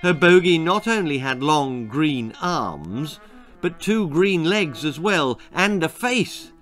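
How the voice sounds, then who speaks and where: A man's voice: a little high, expressive, slow, a bit bright, faint music behind. A man reading a scripted children's story as its narrator, in a theatrical, suspenseful and emphatic manner. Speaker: author reading his own children's story.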